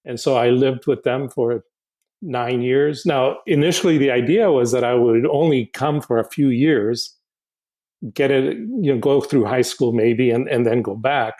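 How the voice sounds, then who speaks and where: A man in his sixties from Honduras, a podcast guest who speaks in a halting, reflective way, often restarting and repeating words. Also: medium pitch, dark tone. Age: 60s. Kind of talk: casual podcast interview.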